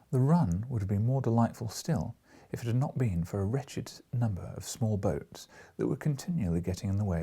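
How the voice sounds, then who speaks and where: A British man with a husky, gravelly voice, reading an audiobook and speaking informally in a gentle, unhurried manner. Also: fairly low pitch. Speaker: audiobook reader and host. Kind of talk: audiobook narration with informal asides.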